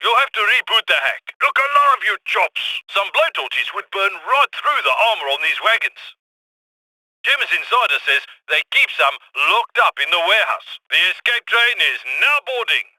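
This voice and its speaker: Game role: urgent heist coordinator on comms, high-pitched man, very bright and forceful, clipped orders. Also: very animated, no room sound, heavily acted, low-quality recording. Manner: brisk, clipped, instructing. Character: urgent, scrappy male heist handler giving orders. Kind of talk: video game voice acting.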